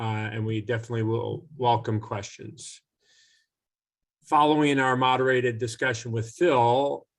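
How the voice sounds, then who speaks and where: Guy hosting a zoom meetup, steady businesslike tone, mid pitch voice. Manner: steady, businesslike, occasional uh fillers. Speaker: host, moderator. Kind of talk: online event introduction.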